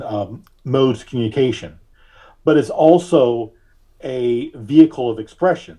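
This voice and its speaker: Male interview guest. Dark, slightly raspy voice, mid pitch, conversational and searching for words. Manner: halting, searching for words, thoughtful. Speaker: podcast guest, artist and reverend.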